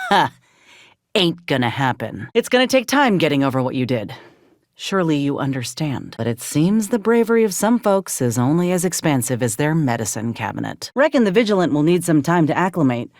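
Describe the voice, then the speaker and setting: A woman's voice: low-pitched, no echo, clean studio sound. A woman voicing a plainspoken, guarded frontier companion in a video game, with a blunt, folksy drawl. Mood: indignant. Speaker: game character voice actor. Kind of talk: video game dialogue acting.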